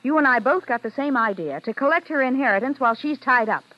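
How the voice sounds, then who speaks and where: A woman's voice: high-pitched, lively intonation, fast. An actress playing a brazen, scheming young woman in a 1940s radio suspense drama. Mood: cool, confrontational. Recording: muffled old radio recording.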